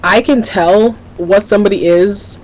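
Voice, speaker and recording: A woman's voice: mid-range voice, unhurried, darkish tone, slight background noise, low-quality recording. Teenage girl rambling candidly to camera. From Minnesota, USA. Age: teens. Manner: rambling, candid, restarts sentences often. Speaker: vlogger. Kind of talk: casual confessional webcam vlog.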